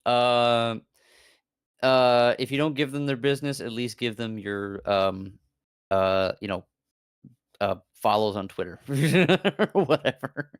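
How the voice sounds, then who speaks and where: Man, mid-pitched, smooth resonant voice, dry close mic, casual cursing chatter. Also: takes it slowly, no room sound. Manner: animated, swearing, laughing, frequent fillers. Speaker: VTuber guest. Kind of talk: casual stream chat.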